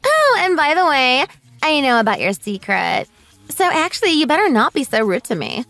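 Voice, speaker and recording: A woman's voice: high-pitched, sing-song, normal speed, a bit bright, no echo, soft background music, pitched above the performer's own voice, full character voice. A woman voicing a snobbish, bullying rich mother in a radio drama, haughty, sneering and exaggerated. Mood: sneering, threatening.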